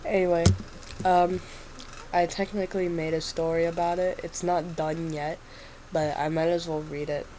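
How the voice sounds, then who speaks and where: A woman's voice: fairly low pitch, flat delivery, normal speed, mellow, slight background noise, rough recording. A woman with a stuffy nose casually reading her own unfinished fan story aloud, stumbling and restarting. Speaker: fan fiction reader.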